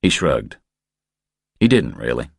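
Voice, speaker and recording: Very deep-voiced male audiobook narrator. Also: sing-song, slow pace, vocal fry, slightly rough, dry recording, studio quality. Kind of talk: scripted thriller narration.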